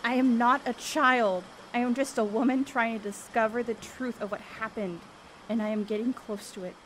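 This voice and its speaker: A woman's voice: fairly high pitch, steady tone, normal speed, natural voice. A woman playing a determined young woman seeking the truth in ancient Greece, speaking boldly and earnestly in an audio drama. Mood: defiant. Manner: bold, earnest, declamatory. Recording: faint background noise.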